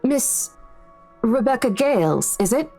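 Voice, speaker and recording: A woman's voice: on the high side, expressive, a little slow, warm tone, faint music behind. Visual novel role: polished, courteous female estate agent with a soothing manner. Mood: businesslike.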